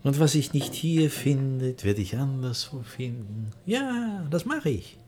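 An actor playing a proud old bookseller who grumbles in broken, heavily coloured German. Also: male voice, mid-range voice, sing-song, slow pace, darkish tone. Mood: obliging. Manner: heavy Yiddish-flavoured broken German, fussy, dismissive. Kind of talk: radio play acting.

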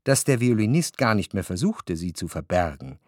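A man's voice: on the low side, very animated, normal speed, a little mellow, no echo. Male literary narrator, very dry sound. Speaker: narrator of a radio drama. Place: dry studio.

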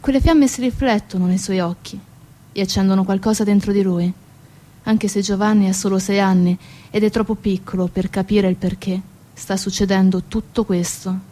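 A woman's voice: mid-range voice, steady tone, normal speed, warm tone. A woman narrating a dramatic scripted story on stage, solemn, in short weighted phrases. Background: music.

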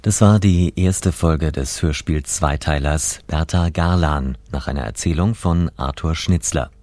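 A man announcing in a formal, deliberate 1950s radio style, reading out credits. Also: extremely low pitch, darkish tone, no echo.

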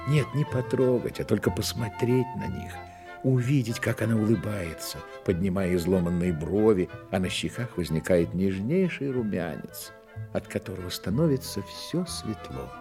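An actor narrating a Soviet radio drama as an earnest literary storyteller. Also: male voice, on the low side, expressive, normal speed, music in the background. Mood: earnest, dramatic. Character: earnest storyteller of a young man's trials.

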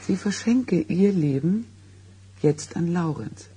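An actor playing a haunted man in a German radio play, recounting an eerie childhood secret in halting, dramatic phrases, with a husky, gravelly voice. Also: high voice, lively intonation, mellow, exaggerated voice. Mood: intense, confiding. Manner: halting, dramatic storytelling with weighted pauses.

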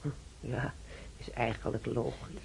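Creaky, slow older patient. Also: female voice, rumbling low, fairly even intonation, darkish tone, slight background noise, full character voice, poor audio. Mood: secretive, gossipy. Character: needy, gossipy older female hospital patient. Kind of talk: Dutch radio play acting.

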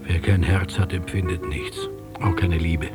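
An actor playing an enigmatic, reflective interlocutor in a German radio drama, speaking poetically in a husky, often near-whispered voice. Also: male voice, sits low, fairly even intonation, loud music behind the voice. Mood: probing. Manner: measured, philosophical, poetic. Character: enigmatic, reflective male interlocutor, probing and gently admonishing.

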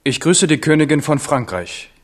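Proud young prince, higher-pitched. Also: male voice, animated, normal speed. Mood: tense, demanding. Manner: formal, clipped, insistent. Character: proud, guarded eighteen-year-old prince, demanding answers. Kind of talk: German radio drama acting.

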